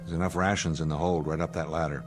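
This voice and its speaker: An actor playing a gruff, practical spaceship commander in an audio drama, speaking in a firm, commanding way. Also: male voice, extremely low pitch, level tone, fast, music in the background. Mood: irritated, stern.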